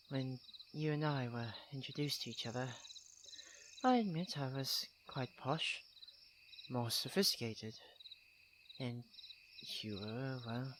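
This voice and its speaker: Over clearly audible background noise comes a proud young prince played by a man in an ASMR roleplay. He speaks slowly in a posh, halting way, teasing and defensive, with a tenderness he tries to hide. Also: mid-range voice, natural voice.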